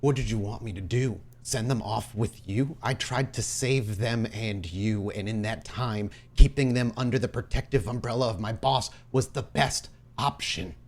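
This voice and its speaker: A man acting as a regretful, defensive estranged husband and father, speaking in a halting, earnest way. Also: mid-range voice, steady tone, pitched above the performer's own voice, close to an everyday voice.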